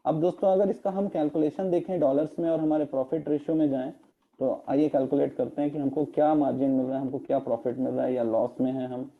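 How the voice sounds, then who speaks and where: A man with a hoarse throat presenting in an online meeting, explaining step by step and slipping English terms into his talk, with fillers. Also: medium pitch, level tone, fast talker, narrow, tinny audio. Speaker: network marketing presenter. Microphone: video call.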